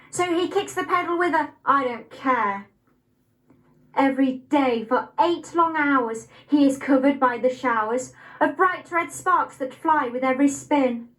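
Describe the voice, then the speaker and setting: Slow, high-voiced young Englishwoman reciting poetry. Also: poor audio. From Nottingham, England. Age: young adult. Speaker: poetry reader.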